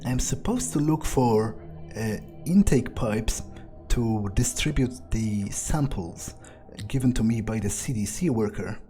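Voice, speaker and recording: A man narrating a video game playthrough in character, thinking aloud in a musing, halting way. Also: mid-range voice, mellow, faint music behind.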